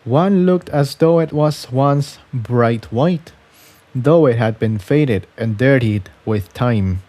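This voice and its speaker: A man narrating a scripted adventure story as a reader of fan fiction, with a somewhat halting delivery that stumbles over words. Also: mid-range voice, dark tone.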